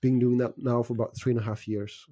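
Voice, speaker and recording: Measured Swedish-born man, mellow voice. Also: medium pitch, little pitch movement, no room sound. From Sweden. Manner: measured, with frequent fillers and restarts. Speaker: guest investor. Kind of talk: interview answers.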